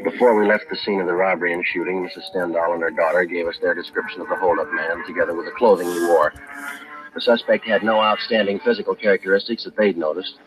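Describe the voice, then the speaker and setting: A stoic American police sergeant played by a male actor in a vintage radio crime drama. He speaks in a low voice at a brisk clip, matter-of-fact and deadpan, with music in the old broadcast. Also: natural voice, low-quality recording. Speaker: police detective narrator. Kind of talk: 1950s radio police drama acting, clipped narration.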